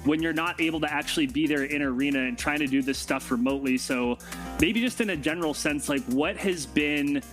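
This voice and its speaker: A male podcast host with a slightly high voice. He talks in a casual, chummy way, putting questions to a guest in an informal interview. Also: brisk, fairly bright tone, soft background music.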